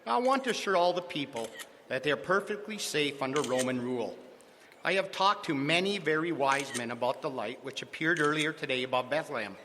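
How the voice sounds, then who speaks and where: Stiff bossy roman governor brushing off reporters, bright forceful voice, live stage show in a big echoey hall. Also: male voice, on the high side, expressive, fairly quick. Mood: official. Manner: stiff, dismissive official statements. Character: pompous evasive Roman governor, middle-aged man. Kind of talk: live radio drama. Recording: live stage recording in a hall with faint hiss.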